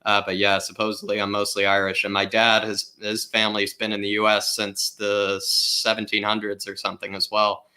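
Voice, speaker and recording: A man's voice: lowish voice, monotone, normal speed, hardly any pauses, sharp tone. A man from the United States, co-hosting a football watchalong and giving rambling analysis. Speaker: co-host. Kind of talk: casual football chat.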